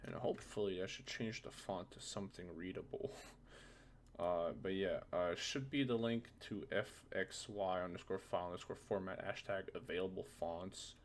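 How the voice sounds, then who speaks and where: A man presenting a casual software tutorial, thinking aloud in an informal way with a gravelly voice. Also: low-pitched, normal speed, slight background noise.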